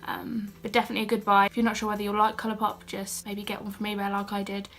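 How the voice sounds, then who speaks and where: Young British woman, croaky from a cold, flat and chatty haul-vlog delivery. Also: medium pitch, fast talker. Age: young adult. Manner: chatty and self-deprecating, with asides and fillers. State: has a cold, a bit croaky. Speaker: beauty and haul vlogger. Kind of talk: casual haul vlog chat.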